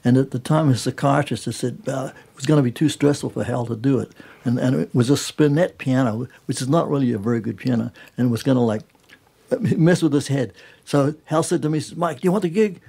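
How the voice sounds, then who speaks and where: A man's voice: medium pitch, a little fast, gravelly, dark tone, husky. An older man from New Zealand, a jazz musician chatting as a studio guest in an emphatic, colloquial way, often breaking off and restarting his sentences. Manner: emphatic, colloquial, self-interrupting. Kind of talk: casual conversational interview, telling anecdotes.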